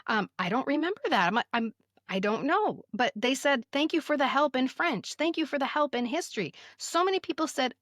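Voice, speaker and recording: An American woman in her fifties telling stories casually as a podcast host, expressive and emphatic, sometimes reading aloud. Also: sits high, fairly quick, dry recording, clean studio sound. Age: 50s. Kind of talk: casual conversational storytelling, some reading aloud.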